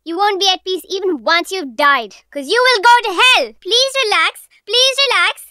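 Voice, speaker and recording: An actress playing a scornful, nagging rabbit wife in a cartoon, sarcastic and scolding. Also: female voice, extremely high pitch, moderate pace, bright, forceful tone, no echo, clean studio sound. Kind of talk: animated cartoon acting.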